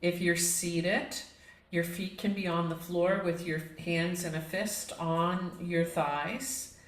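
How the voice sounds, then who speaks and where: A woman leading a guided yoga relaxation as the instructor, speaking in a gentle, measured, inviting way. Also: fairly low pitch, fairly even intonation. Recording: echoey, reverberant room.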